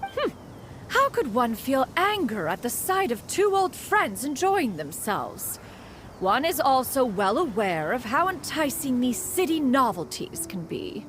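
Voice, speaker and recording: A woman's voice: high-pitched, very animated, a little slow, slight background noise. A woman voicing an ancient, aloof female crane spirit who speaks formally and calls herself "one", in a video game. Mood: composed. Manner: archaic formal speech, refers to herself as "one".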